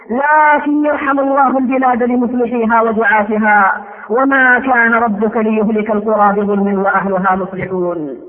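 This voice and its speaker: A man delivers a sermon in a very high-pitched voice that stays on a fairly level line. He exhorts in formal rhetorical phrases, leaning on refrains and pointed questions. The recording is thin and narrow, like a telephone line. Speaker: preacher. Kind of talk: impassioned religious sermon in formal Classical Arabic. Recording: thin phone-like lo-fi sound.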